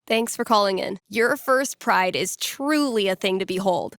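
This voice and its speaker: Playful young female radio DJ, video game character, wry, conversational, teasing, mid-pitched, close dry sound. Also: moderate pace, vocal fry, a bit bright, no echo. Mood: playful storytelling. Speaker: radio DJ taking calls and telling fortunes. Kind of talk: playful radio host patter, storytelling to callers.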